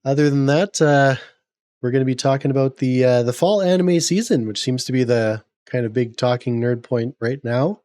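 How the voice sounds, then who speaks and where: A man hosting a casual podcast, getting over a cold so his voice is a little gravelly, opinionated and prone to stumbling restarts. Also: mid-range voice, moderate pace, warm tone, no room sound, studio quality. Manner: opinionated, rambling with repeated restarts. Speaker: podcast host.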